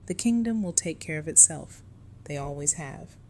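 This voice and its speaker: A woman's voice: low voice, lively intonation, moderate pace, warm tone, a little hiss or hum. A woman playing a stern, guarded and unyielding security chief in an audio drama. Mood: firm, cold. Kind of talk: full-cast audio drama acting.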